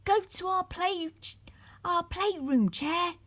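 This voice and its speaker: A woman's voice: very high voice, slow, fairly bright tone, no room sound, pitched above the performer's own voice, full character voice. A woman reading the part of an earnest young boy in a children's storybook. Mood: excited.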